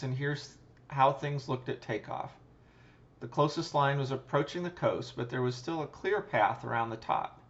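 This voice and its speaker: Male pilot-narrator, mid-pitched, measured and technical scripted read, matter-of-fact, on a slightly muffled recording. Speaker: pilot and channel host. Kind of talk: scripted narration, flight review voice-over.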